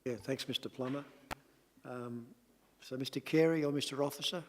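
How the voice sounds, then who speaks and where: Procedural meeting chair in a reverberant hall. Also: male voice, mid-range voice, fairly even intonation, moderate pace. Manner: brief, procedural. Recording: long reverb, set back from the mic.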